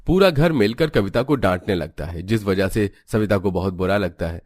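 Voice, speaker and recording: A man's voice: sits low, animated, normal speed, a little mellow, no echo, studio quality. A man narrating a cartoon family story as a scripted storyteller.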